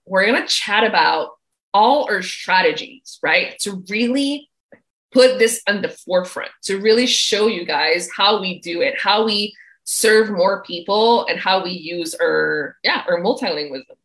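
A woman's voice: medium pitch, unhurried, fairly bright tone, poor audio. A woman co-hosting a business livestream in casual chat, bubbly and laughing often, over a video call. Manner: bubbly, laughs often, says "like" and "really" a lot.

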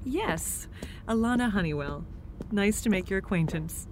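A woman's voice: medium pitch, expressive, moderate pace, background noise, natural voice. A woman acting a polite, slightly formal newcomer from New York City in an audio drama. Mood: gracious.

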